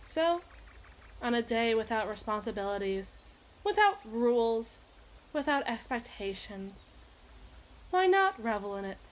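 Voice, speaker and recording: A woman's voice: on the high side, sing-song, measured pace, pauses often, slight background noise. A woman playing a possessive, imperious evil queen in an ASMR roleplay, speaking in formal, teasing, theatrical diction. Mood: wry, rebellious.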